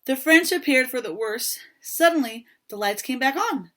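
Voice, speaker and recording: A woman reading a story aloud to young children in an expressive manner, pausing to talk to her listeners. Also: sits high. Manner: expressive, pauses to ask children questions.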